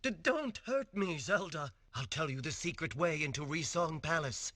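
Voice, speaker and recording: An actor playing a cowardly, pleading, servile traitor in a cartoon game cutscene. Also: male voice, fairly high pitch, animated, moderate pace, a bit bright, no room sound, clean studio sound. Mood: fearful.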